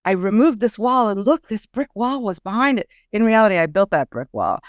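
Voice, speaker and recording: A woman guest author chatting casually in an interview, telling things in an animated way and acting out quotes. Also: mid-range voice, a little fast, no echo, clean studio sound.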